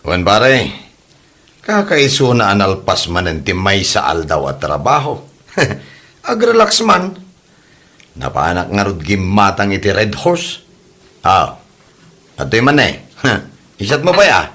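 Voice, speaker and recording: A man's voice: on the low side, big pitch swings, slow pace, pauses often, sharp tone, full character voice. A man playing a laid-back, reluctant village drinking buddy in an amateur comedy drama, with a Filipino Ilocano accent. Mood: easygoing.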